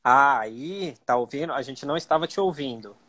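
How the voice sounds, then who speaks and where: A man's voice: mid-range voice, lively intonation, normal speed, sharp tone. A man hosting a live stream on a video call, talking informally and straight to the viewers.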